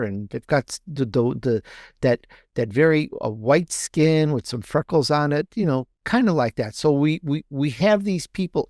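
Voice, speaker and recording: A man being interviewed as an author, explaining his storytelling off the cuff in a halting way, repeating words as he searches for phrasing. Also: mid-range voice, darkish tone, no echo, clean studio sound. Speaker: interview guest, fiction author.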